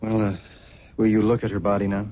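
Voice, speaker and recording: An actor playing a skeptical, probing investigator in an old-time radio drama, questioning in a dry manner. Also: male voice, on the low side, monotone, fairly quick, slight background noise, close to an everyday voice. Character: skeptical, probing male investigator handling a body. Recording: dull old radio recording.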